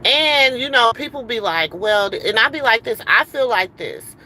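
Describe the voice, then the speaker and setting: A woman's voice: on the high side, animated, moderate pace, sharp tone, a little hiss or hum, rough recording. Heated rambling middle-aged woman. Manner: heated, rambling, many fillers. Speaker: livestream host. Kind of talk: casual livestream rant. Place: in a car.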